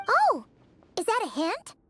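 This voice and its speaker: A woman voicing a small, curious, excitable sprite girl in a video game, with a childlike squeaky voice. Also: measured pace, a little hiss or hum, heavily acted. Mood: eager. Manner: exclamatory, inquisitive. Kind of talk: video game character acting.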